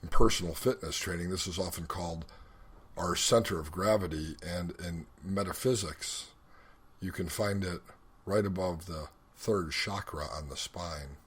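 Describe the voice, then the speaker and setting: A man leading a guided meditation as an instructor, speaking in a measured, instructional way with deliberate pauses. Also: low-pitched, a bit bright, slightly rough.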